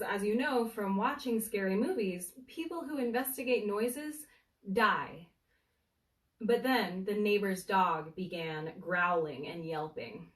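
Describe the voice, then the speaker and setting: A woman reads a humorous story aloud as a storyteller, in a deliberate, dramatic way with suspenseful pauses. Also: medium pitch. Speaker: bookseller storyteller reading aloud. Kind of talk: animated bedtime story reading of a humorous book. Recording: roomy, echoey, distant from the mic.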